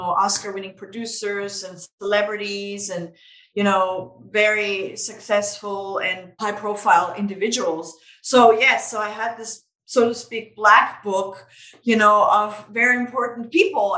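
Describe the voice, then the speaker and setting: A woman's voice: medium pitch, pushed and bright. A woman from Austria, a native German speaker, giving casual, explanatory interview answers as a business founder, peppered with "you know". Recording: roomy, echoey sound.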